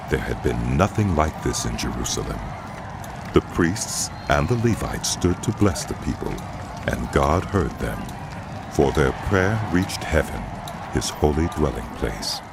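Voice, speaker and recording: A man narrating scripture from a script in a measured, expressive storytelling manner. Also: very deep voice, gravelly. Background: music under the voice.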